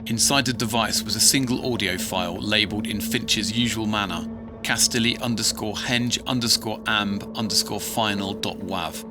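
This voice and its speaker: Low-voiced man acting as a formal investigator. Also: over music, lightly acted. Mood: grave, formal. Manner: formal, measured, matter-of-fact. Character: grave investigator reading an evidence log. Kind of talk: scripted documentary narration.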